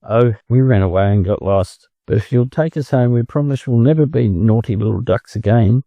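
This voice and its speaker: A man's voice: medium pitch, normal speed, creaky, no room sound, highs cut off, lightly acted. A man with an Australian accent voicing naive little ducklings in a storybook, plaintive and eager. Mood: earnest, sorry.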